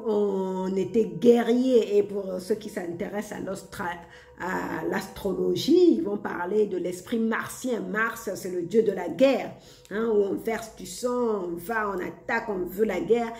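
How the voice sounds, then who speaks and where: A woman's voice: medium pitch, creaky, dark tone, reverberant. A woman vlogger talking casually and reflectively to her viewers, hesitant with many fillers and frequent laughs. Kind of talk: casual spiritual monologue.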